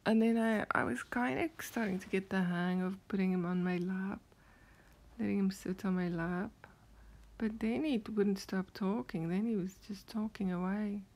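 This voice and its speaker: A woman with a South African accent rambling softly through a strange dream, often half-whispering and hesitating with many fillers. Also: medium pitch, steady tone, moderate pace. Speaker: vlogger.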